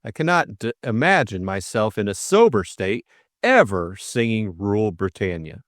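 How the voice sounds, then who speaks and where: Man acting a breezy young gentleman, very animated pitch. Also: moderate pace, no echo, clean studio sound. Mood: bemused. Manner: breezy, hesitant, mildly bemused. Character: flippant, drowsy young English gentleman of leisure. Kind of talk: audiobook character acting.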